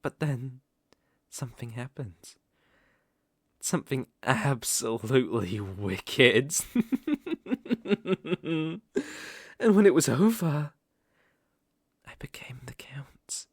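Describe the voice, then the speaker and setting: Guy doing a british vampire lord, slow n seductive, teasing, bossy, asmr roleplay, super dry close sound. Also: mid-range voice, lively intonation, husky, no echo, heavily acted. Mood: brooding, sinister. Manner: slow, teasing, commanding, with theatrical old-fashioned phrasing. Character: suave, seductive, possessive male vampire count. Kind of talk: intimate ASMR roleplay acting.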